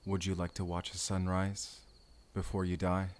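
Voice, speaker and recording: An actor playing an earnest, tender Spanish vampire slayer in an audio roleplay, speaking deliberately and intimately to the listener with a Spanish accent. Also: male voice, deep voice, completely flat delivery, a little mellow, natural voice. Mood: grim, calm. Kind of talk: cinematic audio roleplay acting.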